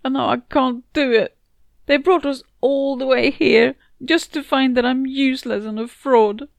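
A woman's voice: high voice, vocal fry, dry recording, studio quality, voice pushed up. A woman with a British accent voicing a distraught, self-doubting, frustrated heroine in a dramatic story. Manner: anguished, self-berating, emphatic. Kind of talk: dramatic first-person story dialogue.

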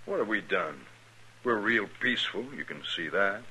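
An American actor playing a smug, taunting cowboy gang leader with a mocking drawl, in 1950s radio western style. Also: male voice, lowish voice, animated, moderate pace, sharp tone, husky, exaggerated voice, poor audio. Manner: drawling, mocking, falsely friendly. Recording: old radio recording with faint noise.

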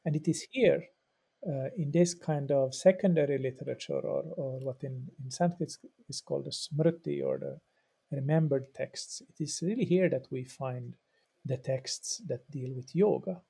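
Gravelly, husky-voiced Finnish man explaining. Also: mid-range voice, moderate pace, dark tone. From Finland. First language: Swedish. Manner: thoughtful and explanatory, with frequent repeats and fillers. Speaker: religious studies professor and yoga practitioner. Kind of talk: podcast interview answer.

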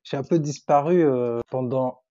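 A man's voice: mid-range voice, unhurried, warm tone, highs cut off. A young man from Tunisia whose first language is Arabic, chatting as a podcast guest and hesitating often as he searches for words. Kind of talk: casual interview chat.

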